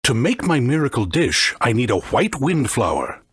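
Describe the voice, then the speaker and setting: A woman's voice: rumbling low, very animated, moderate pace, husky, dry recording, full character voice. A woman voicing a polite, regretful bistro cook in a video game. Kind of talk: English game dub.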